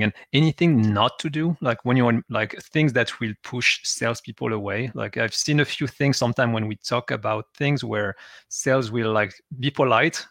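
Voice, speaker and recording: A man from France with a French accent, hosting a podcast and asking questions in a halting way, often repeating words. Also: mid-range voice, a bit bright, dry recording. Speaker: podcast host. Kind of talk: casual interview talk.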